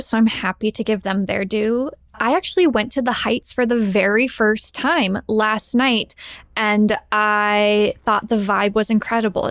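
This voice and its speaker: A woman's voice: medium pitch, vocal fry, fairly bright tone, no room sound, studio quality. An American woman chatting casually as a guest on a podcast.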